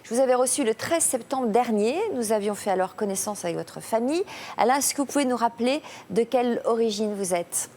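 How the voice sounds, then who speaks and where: A woman hosting a television talk show, guiding guests with soft murmurs of encouragement. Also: a little high, fairly quick, slight background noise. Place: television studio.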